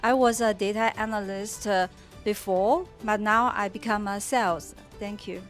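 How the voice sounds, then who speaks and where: A woman's voice: mid-range voice, fairly even intonation, faint music behind. Hesitant woman from mainland China. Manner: hesitant, many "uh" pauses. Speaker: sales worker, recent migrant. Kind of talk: interview answers.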